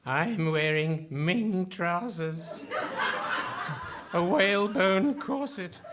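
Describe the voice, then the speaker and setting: A woman's voice: fairly low pitch, lively intonation, very slow, very noisy. A woman playing an eccentric, pedantic member of parliament in a comic radio play, pompous and quibbling. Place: hall stage before a live audience.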